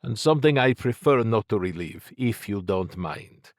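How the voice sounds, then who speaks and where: A man's voice: on the low side, animated, moderate pace, dry recording, clean studio sound. A male narrator voicing a cagey, cheerful wealthy man in an audiobook, light and dismissive in manner. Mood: guarded. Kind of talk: audiobook character dialogue.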